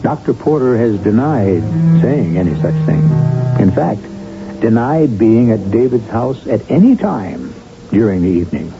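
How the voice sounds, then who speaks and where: A man hosting a radio drama with deliberate, ominous, dramatic scripted narration. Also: on the low side, big pitch swings. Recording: dull old radio recording with loud music. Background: loud dramatic music.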